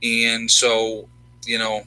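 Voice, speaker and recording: A man's voice: mid-range voice, monotone, very slow, pushed and bright, clear, resonant tone, poor audio. A man in his fifties from upstate New York, talking bluntly and emphatically in a casual chat with viewers. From New York State, USA. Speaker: channel host, army veteran.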